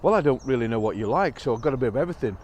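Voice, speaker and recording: A man voicing a kind, eager female bird who gushes and pleads excitedly, in a storybook telling. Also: medium pitch, rapid-fire, lo-fi sound, a little hiss or hum, natural voice. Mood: coaxing.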